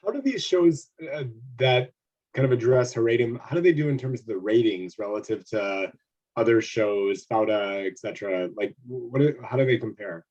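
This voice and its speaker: Audience man, halting question, muffled call audio. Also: medium pitch, darkish tone. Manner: hesitant, rephrasing his question. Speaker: audience member asking a question. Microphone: video call.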